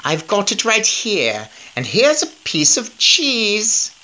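A man voicing an imperious, gloating evil witch who yells in drawn-out commands for a storybook. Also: high-pitched, wildly swooping pitch, pitched above the performer's own voice, exaggerated voice. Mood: eager menace. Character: shrieking, gloating, imperious evil Grand High Witch, female.

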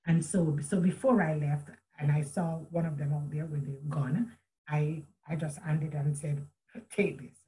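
Low-voiced woman telling a story. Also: fairly even intonation, unhurried, warm tone, poor audio. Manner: earnest, reflective, with many restarts and quoted speech. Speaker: author and guest. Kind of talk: storytelling in a video call interview. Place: room at home with a chandelier. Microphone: video call webcam.

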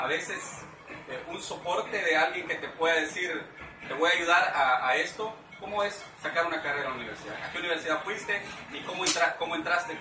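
A middle-aged man from El Salvador hosting a casual interview at home, asking friendly questions with a few fillers. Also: a little high. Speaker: interviewer and channel host. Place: living room at home. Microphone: far camera. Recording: roomy, far from the camera mic.

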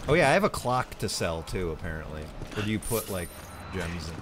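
A man of middle pitch with a very lively, up-and-down voice cracks jokes while playing. His voice comes through with plain hiss beneath it, like a noisy online voice chat. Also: brisk. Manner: laid-back, wisecracking. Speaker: co-op gaming partner. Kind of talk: casual gameplay chat.

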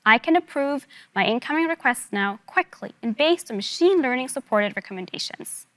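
A woman's voice: high voice, animated, moderate pace, a bit bright. A woman in her thirties giving a rehearsed product demonstration as a presenter at a company keynote.

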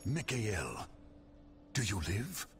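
A man playing a grim, gruff adventurer hero in a fantasy game, brooding and deliberate, with a gravelly voice. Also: fairly low pitch, wildly swooping pitch, a bit bright, slight background noise, exaggerated voice. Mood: wary, brooding. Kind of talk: scripted fantasy game dialogue.

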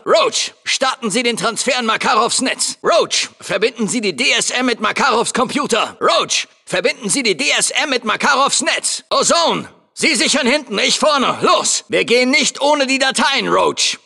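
An actor playing a tough, commanding special forces soldier in a German video game dub, barking clipped military orders with urgent authority. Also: male voice, extremely high pitch, pushed and bright, exaggerated voice. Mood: tense, commanding. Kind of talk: German game dub, barked combat callouts.

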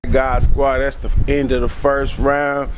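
A man's voice: medium pitch, little pitch movement, measured pace. A man hosting a casual spelling contest vlog with playful, slangy banter and some swearing. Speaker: host and quizmaster. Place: barbershop and outdoor back deck. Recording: echoey room, clear background noise.

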